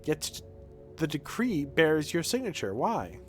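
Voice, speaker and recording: A man's voice: on the high side, huge pitch swings, measured pace. A man voicing a blunt, self-assured doctor in a video game, with pointed and formal questioning. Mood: accusing. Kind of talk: dramatic reading of game dialogue. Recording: game music behind the voice.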